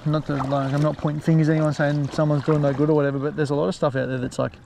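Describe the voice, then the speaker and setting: A man's voice: on the high side, flat delivery, racing, creaky, noisy background. A man fishing from a kayak, chatting casually and muttering at the fish he misses. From Australia. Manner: laid-back, muttering exclamations at fish. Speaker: fishing vlogger. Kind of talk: casual outdoor fishing chat. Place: kayak on open water outdoors.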